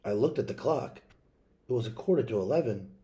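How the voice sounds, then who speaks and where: Man reading a story aloud, mellow voice. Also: mid-range voice, lively intonation, fairly quick. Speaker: read-aloud reader. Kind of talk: storybook read-aloud, expressive narration.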